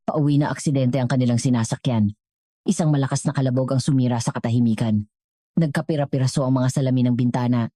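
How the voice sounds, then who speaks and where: A woman with a low, mellow voice, reading a scripted dramatic story as narrator. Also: steady tone, quick pace, no room sound, studio quality.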